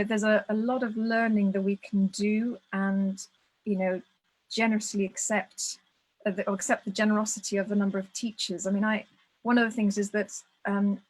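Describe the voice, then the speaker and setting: A woman's voice: mid-range voice, low-quality recording. A woman from Wales, speaking thoughtfully on a video call as a guest, often restarting her phrases. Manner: thoughtful, restarts phrases, steady even delivery. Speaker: guest speaker on ritual tradition. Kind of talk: reflective conversation.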